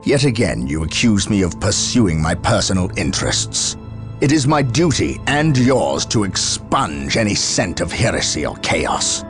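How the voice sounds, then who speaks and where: An actor playing a stern, proud space marine captain in a game cutscene, speaking with formal authority. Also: male voice, low voice, big pitch swings, unhurried. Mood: stern, defiant. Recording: loud music behind.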